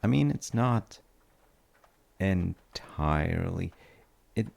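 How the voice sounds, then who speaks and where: A man playing a gentle, musing father in a sleep-aid audio roleplay, talking in unhurried, halting rambles with a low, gravelly voice. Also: expressive, heavily acted. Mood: soothing, musing. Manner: unhurried, musing, halting with soft trailing phrases. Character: gentle, musing father comforting his tired grown child.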